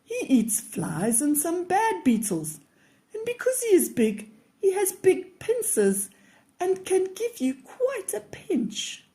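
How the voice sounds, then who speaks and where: A woman voicing a patient, kindly grandfather in a children's storybook, gently explaining things. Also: very high voice, huge pitch swings, takes it slowly, exaggerated voice. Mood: calm, instructive. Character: patient, kindly grandfather who loves garden bugs.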